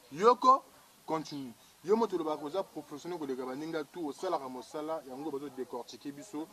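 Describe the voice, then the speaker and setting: Congolese male interviewer, slightly high-pitched voice, conversational delivery, clearly audible crowd behind. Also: rough recording. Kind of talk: conversational interview with some Lingala mixed in. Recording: busy chatter behind the voice.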